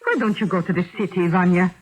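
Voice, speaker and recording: A woman's voice: lowish voice, steady tone, fast, lightly acted, rough recording. An actress in a 1970s radio drama playing an older widowed peasant mother, firm and coaxing, stern but loving. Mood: stern, reproachful.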